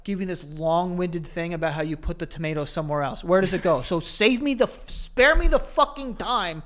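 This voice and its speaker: A man's voice: high-pitched, a little fast, fairly bright tone. A young man, joking bluntly and swearing as a podcast co-host. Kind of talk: casual banter.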